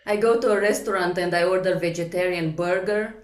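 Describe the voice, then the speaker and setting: A woman in her thirties from Romania with a Romanian accent, doing a stand-up set over a video call from home, dry and unhurried, laughing at her own jokes. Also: on the low side, roomy sound. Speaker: stand-up comedian. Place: home, at night.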